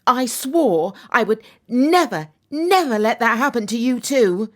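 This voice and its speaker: A woman's voice: fairly high pitch, lively intonation, unhurried. A woman acting in an audio play as a wry, frank, exasperated middle-aged mother. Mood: earnest. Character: exasperated, frank mother, once a teenage mum.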